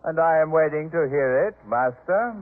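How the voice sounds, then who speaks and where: An actor playing a proud, disdainful householder in a 1950s radio drama, commanding, clipped and pompous. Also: male voice, on the high side, lively intonation, normal speed, soft background music, lightly acted. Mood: scornful challenge. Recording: muffled phone-like 1950s radio recording.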